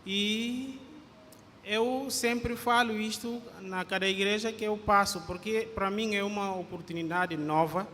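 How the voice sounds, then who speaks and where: A man from Guinea-Bissau with a Guinea-Bissau Portuguese accent, a visiting pastor who speaks slowly in a high voice. He greets the congregation and reads scripture, often ending phrases with a questioning tag. His voice carries a long church-hall reverb over a faint background hiss. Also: sharp tone.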